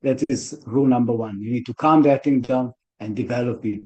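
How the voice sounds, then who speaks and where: A man with a South African accent talks earnestly and casually at an online community conference. His voice is mellow and darkish, at a mid pitch. He is heard through a computer mic on a video call. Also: brisk, lo-fi sound. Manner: earnest, loose conversational speaking. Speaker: conference organizer.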